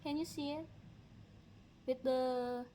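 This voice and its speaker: A woman from Indonesia, speaking English as a second language, chatting slowly and musingly as she colors on a livestream. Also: sits high, steady tone, mellow, a little hiss or hum. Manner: slow, musing, talks while working, light laughs. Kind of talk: relaxed coloring livestream chat. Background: background music.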